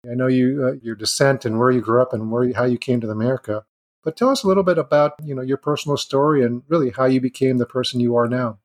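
A man's voice: medium pitch, fast. Rambling male podcast host. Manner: loose, rambling phrasing. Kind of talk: conversational interview.

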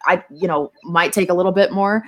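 A woman's voice: on the low side, fairly quick, a bit bright. A woman speaking as a podcast guest in casual discussion, candid and playful.

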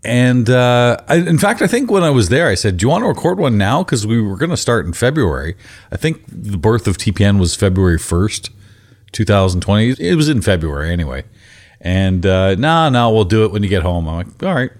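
Teasing low-voiced man in his fifties. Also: big pitch swings, fairly quick. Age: 50s. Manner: joking, teasing. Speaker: podcast co-host. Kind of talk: casual podcast chat.

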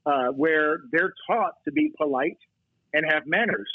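A man talking about policing as a podcast guest, telling stories fast and emphatically with quoted exchanges. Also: on the high side, no room sound. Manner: fast, emphatic storytelling with quoted back-and-forth. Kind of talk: casual podcast chat. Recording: tinny phone-line audio.

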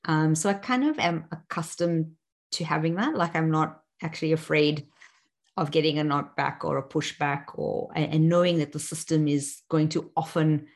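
A woman's voice: low voice, fairly even intonation, moderate pace. A woman in her forties from South Africa, speaking thoughtfully as an interview guest, with frequent fillers and restarts. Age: 40s. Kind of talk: reflective personal interview.

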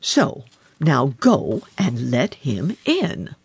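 A woman's voice: a bass voice, huge pitch swings, extremely slow, slightly rough, no echo, exaggerated voice. A woman voicing a stern older king and father in a storybook, speaking in a commanding way. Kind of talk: storybook reading.

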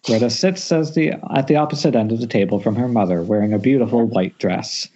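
Mellow man narrating a screenplay. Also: medium pitch, fairly quick. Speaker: stage-direction narrator. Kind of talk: screenplay narration at amateur table read. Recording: muffled internet call.

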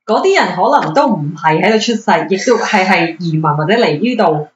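A woman's voice: lowish voice, animated, brisk. A woman guest in a casual chat, talking animatedly and mixing in English words. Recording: roomy, distant, dull.

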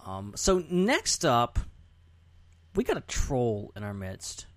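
A man's voice: medium pitch, huge pitch swings, slow, no echo. A man chatting casually and animatedly as a podcast host.

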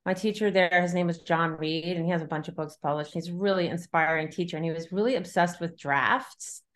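A woman's voice: fairly low pitch, brisk. A middle-aged woman talking casually as an interviewed novelist, thinking aloud in loose, looping sentences. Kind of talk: casual conversational interview about writing.

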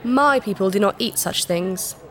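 An actress playing a proud, secretive alien princess in disguise, formal and precise, in a sci-fi audio drama. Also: female voice, mid-range voice, moderate pace, close to an everyday voice. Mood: polite, matter-of-fact. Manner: formal, precise, earnest, easily affronted.

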